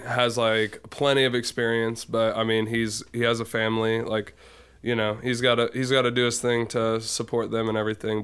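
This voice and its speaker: A man with a smooth, clear voice chats casually as a guest on a podcast. He rambles along, loading his sentences with fillers and little asides. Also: medium pitch, fairly bright tone. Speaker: guest musician.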